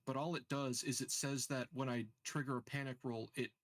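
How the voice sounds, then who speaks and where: A man's voice: mid-range voice, flat delivery, a little fast, fairly bright tone, no echo. A man chatting casually as a player in a tabletop game, loose and salty in his talk. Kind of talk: casual chat during a game.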